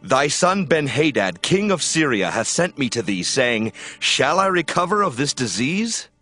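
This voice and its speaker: Biblical royal messenger, earnest, theatrical, measured, animated intonation, faint music, scripture drama. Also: male voice, medium pitch, heavily acted. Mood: formal, deferential. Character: deferential Syrian royal envoy, earnest servant.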